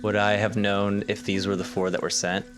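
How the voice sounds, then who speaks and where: A man's voice: on the low side, little pitch movement, moderate pace. A man chatting casually as a player in a tabletop roleplaying game, narrating his character's moves. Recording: music and rain sound effects behind. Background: music, rain.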